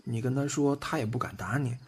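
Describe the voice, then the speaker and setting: A man's voice: medium pitch, a little fast, slight background noise, natural voice. A man playing a shy, eager young boyfriend in a Chinese audio drama, given to flustered stammering. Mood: anxious.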